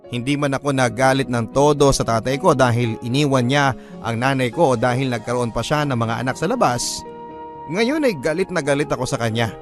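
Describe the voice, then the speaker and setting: A man's voice: medium pitch, lightly acted. A man playing a wounded, resentful son, narrating his story in an anguished, self-questioning way for a Filipino radio drama. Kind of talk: first-person dramatic letter narration in Tagalog radio drama. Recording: faint music behind the voice.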